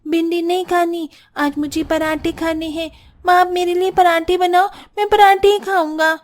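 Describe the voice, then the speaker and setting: A woman acts out a young boy in a cartoon family tale. The voice is very high and childlike, with a whiny, demanding way of talking. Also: fairly even intonation, normal speed. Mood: whiny, insistent. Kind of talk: Hindi cartoon story dialogue.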